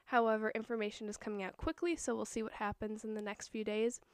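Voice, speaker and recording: A young woman hosting her own podcast, talking in a chatty, earnest way with a voice that is hoarse from a cold. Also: fairly high pitch, little pitch movement, fast, no room sound, clean studio sound. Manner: earnest, chatty, a little nervous. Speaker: podcast host. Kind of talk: casual solo podcast talk.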